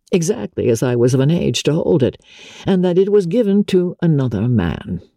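Classic storybook gentleman officer performed by a woman: genteel, aggrieved, confiding, soft-edged husky delivery with expressive swings. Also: a bass voice, no room sound, clean studio sound, heavily acted. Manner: measured, plaintive, confiding. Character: smooth-talking, wronged young gentleman officer, wounded and genteel.

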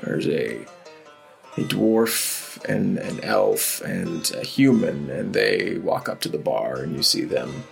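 Male game master, gravelly and rough-edged, casual halting narration. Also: extremely low pitch, very animated, faint music behind. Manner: loose, halting narration with fillers.

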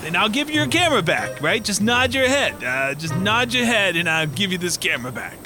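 A man's voice: high-pitched, very animated, fairly quick, music in the background. An actor playing an awkward, hesitant man who is eager to please and fancies himself a natural leader. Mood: nervous pleading. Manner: hesitant, stammering with many uhs. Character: awkward man, self-declared natural leader, nervously ingratiating. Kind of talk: naturalistic audio drama acting.

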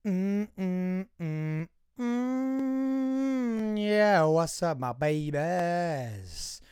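A hoarse man with a husky voice, hosting his own podcast in a chatty, rambling solo intro. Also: sits high, animated, very slow, a little mellow. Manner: chatty, rambling, addresses listeners as guys. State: hoarse, voice fading. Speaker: podcast host.

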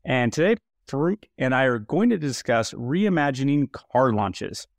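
A man hosting a marketing podcast, talking in a relaxed, chatty way with many fillers and restarts. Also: medium pitch, measured pace, dry recording. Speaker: podcast host. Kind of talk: casual interview chat.

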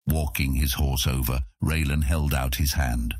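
A man's voice: very deep voice, fairly even intonation, a little slow, a little mellow, breathy edge, no room sound, clean studio sound. A man narrating a scripted fantasy audiobook as its storyteller.

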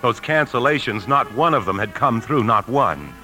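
An actor playing a tense, desperate embezzling husband in a 1950s radio drama, speaking with urgent, confessional intensity. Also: male voice, mid-range voice, lively intonation, moderate pace, lightly acted. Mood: haunted, narrating. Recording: old radio broadcast, faint music.

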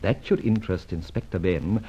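A man playing a suave, wry and commanding gentleman adventurer in a vintage radio adventure serial. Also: deep voice, normal speed, close to an everyday voice. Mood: sly, composed. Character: suave, self-assured gentleman adventurer and amateur sleuth. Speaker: narrator and lead actor. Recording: dull old radio recording, music behind.

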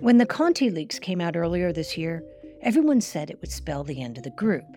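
A woman's voice: on the low side, very animated, fairly quick, music in the background. A woman hosting a cyber news podcast, reading scripted narration in a conversational way. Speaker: podcast host.

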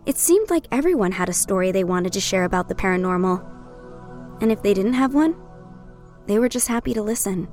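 An actress playing a reflective young woman, narrating a scripted documentary in a measured way. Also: medium pitch, expressive, darkish tone, natural voice. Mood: measured, wistful. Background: music.

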